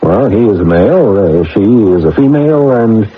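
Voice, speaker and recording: A man's voice: lowish voice, expressive, moderate pace, husky. A man playing a flustered, well-meaning computer inventor in a radio drama, hesitant and prone to stammering. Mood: patient, awkward. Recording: dull vintage radio recording, music behind. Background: music.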